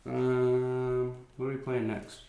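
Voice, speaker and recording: A man, a singer-songwriter with a stuffy nose, chatting softly and self-deprecatingly between songs in a home guest room. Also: mid-range voice, completely flat delivery, slow. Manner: rambling, self-deprecating, soft-spoken. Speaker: singer-songwriter performing live. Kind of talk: casual between-song chat. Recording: roomy echo.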